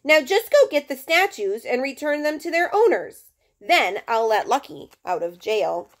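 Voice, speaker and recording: A woman's voice: sits high, very animated. Woman reading as a firm police officer. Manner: measured, firm. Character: stern but kindly male police officer.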